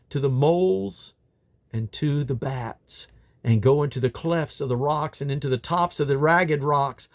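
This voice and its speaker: A man reading Bible scripture aloud in old King James English, in a solemn, declamatory manner. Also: fairly high pitch. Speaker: Bible reader.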